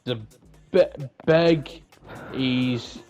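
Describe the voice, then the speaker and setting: A man's voice: mid-range voice, drawn-out words, darkish tone, highs cut off, faint music behind. A young adult man chatting casually as a podcast co-host. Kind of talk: casual chat.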